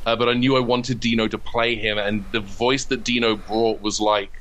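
A man's voice: fairly low pitch, steady tone, moderate pace, fairly bright tone, poor audio. A man talking casually as an interview guest about his own creative work, chatty and wry, wandering into asides. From from abroad, immigrated in 2007. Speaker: interviewed comedy writer and audio drama creator.

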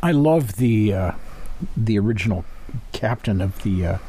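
A man with a husky, mellow voice co-hosts an interview. His casual remarks swing widely in pitch. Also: expressive, a little slow. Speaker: interview co-host.

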